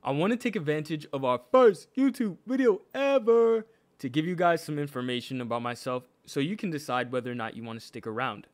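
A man's voice: medium pitch, fast, hardly any pauses. An American man from New York with a faint New York accent, narrating a scripted video in a slangy, playful, informal way as a gaming YouTuber. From New York, USA.

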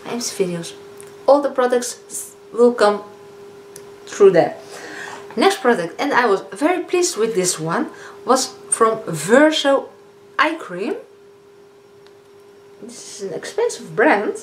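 A woman in her fifties with a Dutch accent, chatting casually as a beauty vlogger reviewing products, often pausing on fillers and correcting herself. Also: on the high side, sing-song. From Netherlands. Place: living room, indoors. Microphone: camera in front of a seated speaker. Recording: echoey room with faint hiss.

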